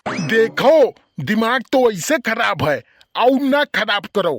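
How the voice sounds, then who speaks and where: Man acting old village uncle, high-pitched, Awadhi accent, grumpy, blustering, complaining, comedy cartoon. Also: big pitch swings, slow pace, noisy background, exaggerated voice. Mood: irritated. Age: older.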